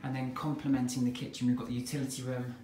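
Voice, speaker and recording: A man with an English accent giving a relaxed, chatty walk-through of a house for sale, filmed on a handheld phone indoors and in the garden. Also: medium pitch, quick pace, a little hiss or hum. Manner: relaxed, chatty, plain sales patter. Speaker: estate agent giving a house tour. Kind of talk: walk-through property tour narration. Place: inside a detached house and its back garden. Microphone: handheld phone camera.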